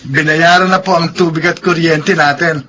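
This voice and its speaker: A man's voice: on the high side, steady tone, moderate pace, bright, forceful tone, a little hiss or hum. A man with a Filipino accent acting a humble, remorseful grown son in a radio drama.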